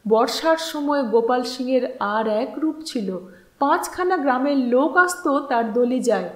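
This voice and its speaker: A woman narrating a literary story as an audiobook storyteller, with expressive, measured and dramatic delivery and deliberate phrasing. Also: high voice. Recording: roomy, echoing voice recording.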